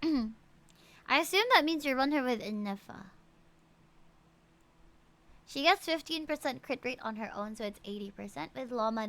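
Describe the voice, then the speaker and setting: A woman live-streaming a game, chatting casually with viewers and reading out stats in an animated way, with frequent exclamations and asides. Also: high voice. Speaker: live streamer reviewing players' game characters.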